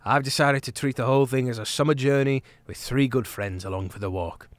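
A man voicing a calm, noble male mouse warrior with a low, steady, solemn delivery. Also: a little fast, no echo, natural voice. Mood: reassuring.

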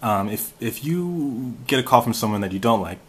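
A man's voice: mid-range voice, lively intonation, normal speed, a bit bright, husky. An American man hosting a casual how-to walkthrough of a phone app. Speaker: tutorial host.

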